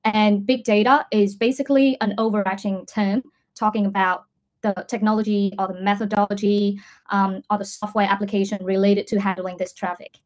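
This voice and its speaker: A woman's voice: medium pitch, level tone, fairly quick, a little mellow. A woman, answering casually in an online lesson, thinking aloud as she goes. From Vietnam. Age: 20s. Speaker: English student, data scientist.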